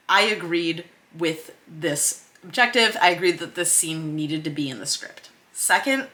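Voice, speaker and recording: A woman's voice: on the low side, bright, forceful tone. A woman hosting a filmmaking vlog, explaining her process in a chatty way with emphasis on key words. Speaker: host of a filmmaking vlog series. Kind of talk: explanatory vlog to camera with screen share.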